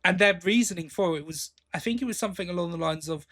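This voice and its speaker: A man co-hosting a podcast, giving a casual review in a halting way, thinking aloud as he goes. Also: high voice, sharp tone. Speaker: podcast co-host.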